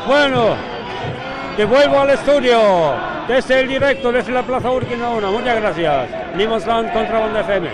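A man's voice: extremely high pitch, moderate pace, over loud music. A man reporting live for radio from a busy city square, doing street interviews. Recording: busy protest crowd outdoors. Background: crowd of protesters.

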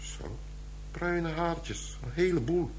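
A man's voice: a little high, slow, slight background noise, rough recording. A man playing an easygoing, sceptical forensic policeman in a Dutch radio crime drama, bantering as he talks. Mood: wry. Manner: lively, bantering.

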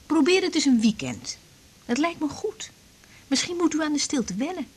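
A young woman acting a brisk, stern doctor in a radio drama, speaking in a curt, commanding way. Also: high-pitched, animated. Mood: reassuring, brisk. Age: young adult.

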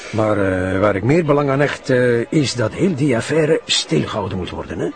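A man's voice: mid-range voice, lively intonation, moderate pace, natural voice. An actor playing a pompous, bossy, wealthy old man in a radio drama, with a Flemish accent and French phrases dropped in. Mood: conspiratorial, controlling. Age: older.

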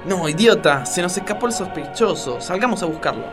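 An Argentine actor playing a bumbling, bossy detective boss in a comedic radio play. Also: male voice, high voice, animated, a little fast, over music. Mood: agitated.

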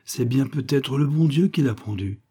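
A man voicing a hunted young hen who muses quietly, in a storybook reading. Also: mid-range voice, expressive, normal speed, slightly rough, pitched above the performer's own voice. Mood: wondering.

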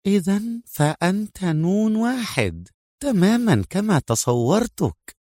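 A man voicing a soft-spoken, proud young woman intelligence officer in an audiobook. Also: high-pitched, brisk, no room sound, studio quality, pitched above the performer's own voice. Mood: hushed, playful. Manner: hushed, breathy whisper at times, calm. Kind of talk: acted audiobook dialogue.

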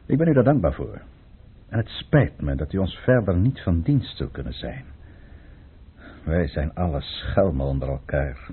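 Husky, scheming count, tinny radio. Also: male voice, sits low, expressive, heavily acted. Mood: sombre. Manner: measured, formal, theatrical. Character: scheming, controlled male count advising the tsar, secretly tormented. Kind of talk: Dutch radio play acting. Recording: tinny, muffled old radio play recording.

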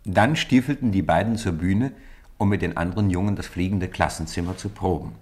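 A man with a very low voice tells a story in the manner of a 1950s radio play. His delivery is measured and expressive, that of a practised narrator.

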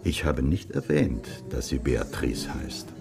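A deep, gravelly, composed investigator. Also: male voice, wildly swooping pitch, over music, exaggerated voice. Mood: dry, composed. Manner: deliberate, understated, slightly old-fashioned wording. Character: dry, composed widowed male investigator reporting his findings. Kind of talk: German radio crime drama acting.

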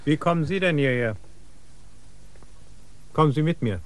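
A man's voice: on the high side, lively intonation, normal speed, a little hiss or hum, close to an everyday voice. An actor playing an officious, pedantic male village secretary in a radio drama, with a formal, bureaucratic way of speaking.

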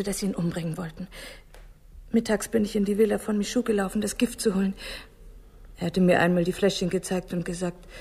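An actress playing a troubled, earnest young woman in a 1960s German radio crime drama, speaking in a hushed, confessional manner. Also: mid-range voice, slightly rough.

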